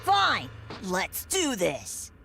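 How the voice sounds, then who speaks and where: A woman's voice: mid-range voice, wildly swooping pitch, very slow, gravelly, over loud music, heavily acted. A woman playing a plucky, unassuming young boy fighter in a game, casual and straightforward. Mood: confident.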